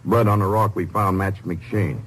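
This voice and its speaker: An actor playing an easygoing small-town Texas sheriff, plain-spoken and laconic, in a 1950s radio drama. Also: male voice, sits low, fairly even intonation, a little slow. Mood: sober, businesslike. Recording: noisy old 1950s radio recording.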